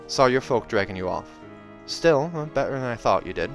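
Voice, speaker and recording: A man voicing a guarded, quick-tempered young village woman with rustic, clipped phrasing, in a fantasy audiobook reading. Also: medium pitch, lively intonation, faint music behind, lightly acted. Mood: grudging, blunt. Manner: rustic, clipped phrasing with shifting put-on vowels.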